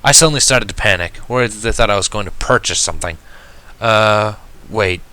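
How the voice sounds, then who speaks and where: A man reading fan fiction aloud as expressive first-person story narration. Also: on the low side, fairly quick.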